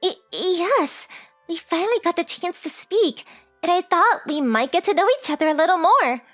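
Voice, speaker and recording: A woman's voice: very high voice, expressive, normal speed, a bit bright, no echo, faint music behind. A woman voicing a polite, shy teenage rich girl in an anime-style dub, with very formal, hesitant phrasing. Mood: hopeful.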